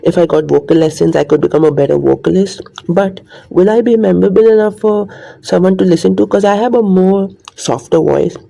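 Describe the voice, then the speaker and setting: A man's voice: high-pitched, creaky, warm tone, rough recording. A man talking in a rambling, earnest monologue to his viewers, repeating his points. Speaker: vlogger. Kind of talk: casual monologue to camera.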